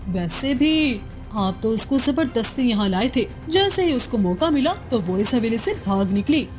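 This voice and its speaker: A woman playing a scheming, cruel, jealous chieftain's wife in a cartoon moral story, theatrical and slyly coaxing. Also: on the high side. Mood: slyly soothing.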